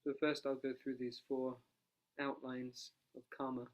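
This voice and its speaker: A man, a monk, leading a guided analytical meditation, speaking quietly and unhurriedly without a dedicated microphone. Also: mid-range voice, flat delivery. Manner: soft-spoken, quiet, unhurried.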